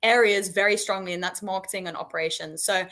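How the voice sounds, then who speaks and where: Bright-voiced British woman chatting. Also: mid-range voice, steady tone, quick pace. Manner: chatty, friendly, storytelling. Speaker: head of marketing operations. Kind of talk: casual live-stream chat.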